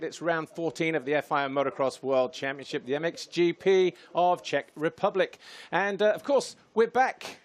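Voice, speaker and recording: A man hosting a TV studio show, upbeat and chatty, laughing easily as he runs the show. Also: a little high. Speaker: TV show host.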